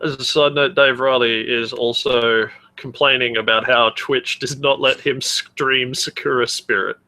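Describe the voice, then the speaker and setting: A man's voice: mid-range voice, fairly even intonation, unhurried, sharp tone, low-quality recording. A man co-hosting a comedy podcast in casual banter, sardonic and prone to swearing. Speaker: podcast co-host. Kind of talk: casual banter and mock-dramatic readings.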